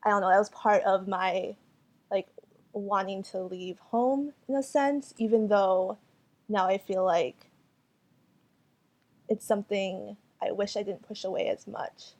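A woman's voice: medium pitch, steady tone, a little slow, long pauses, darkish tone. A young woman artist whose first language was Cantonese answers interview questions hesitantly, with many fillers and restarts. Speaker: artist and photographer.

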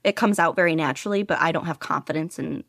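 A woman's voice: sits low, quick pace, creaky, no echo, clean studio sound. A woman guest chatting casually in an interview, rambling with lots of fillers and frequent giggles. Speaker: podfic reader and guest.

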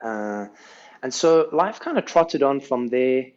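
South African man, podcast guest, mid-pitched voice, earnest casual storytelling, muffled lo-fi audio. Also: animated, takes it slowly, a little mellow. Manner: earnest, tags sentences with "right?". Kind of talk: casual life-story interview.

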